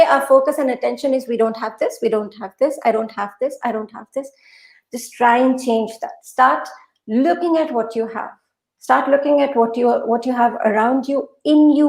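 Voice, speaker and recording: Female motivational coach, native Urdu speaker, slightly high voice, emphatic and instructive, recorded in an echoey room. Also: a little mellow. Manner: emphatic, instructive, repeats phrases. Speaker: podcast guest, mindset coach. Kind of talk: conversational motivational advice.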